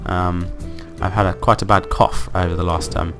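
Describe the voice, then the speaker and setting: A man with a croaky voice from being ill, hosting casual gameplay commentary and thinking aloud in an upbeat, rambling way. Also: low voice, flat delivery, normal speed, over music. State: croaky from illness. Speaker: let's play host.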